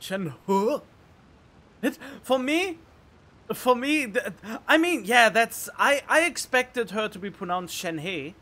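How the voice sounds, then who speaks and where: A man giving casual let's play commentary as a game streamer, halting and repeating words as he thinks aloud. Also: extremely high pitch, expressive, sharp tone.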